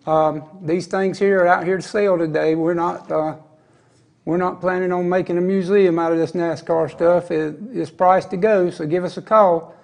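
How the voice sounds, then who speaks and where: A man's voice: sits high, fairly even intonation, normal speed, vocal fry, a little mellow. A man hosting a TV shopping show, talking up items in folksy, chatty salesman banter from an indoor studio set on a lapel mic. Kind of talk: TV shopping pitch.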